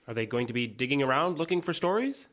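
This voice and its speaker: A man's voice: medium pitch, lively intonation, fast, a bit bright, natural voice. An actor playing an earnest, slightly awkward small-town man in an audio drama, hesitant and conciliatory. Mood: questioning, uneasy.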